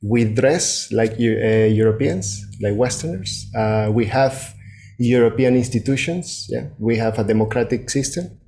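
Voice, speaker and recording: A man's voice: medium pitch, a little slow, creaky, darkish tone, a little hiss or hum. A man from Venezuela with a Venezuelan Spanish accent, giving a reflective Buddhist talk to a group in a hesitant way, often checking in with a "yeah". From Venezuela, Andes.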